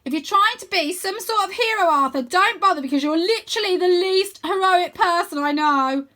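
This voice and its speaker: A woman voicing a blunt, sharp-tongued young girl in a storybook, scolding and sarcastic. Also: very high-pitched, moderate pace, pitched above the performer's own voice. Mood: scornful.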